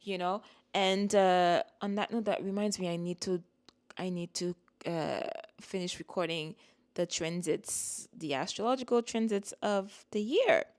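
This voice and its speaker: A woman's voice: fairly low pitch, a little slow, a little mellow. A woman whose first language is French, giving a casual spiritual talk as an astrologer, rambling and repeating words as she reassures her viewers.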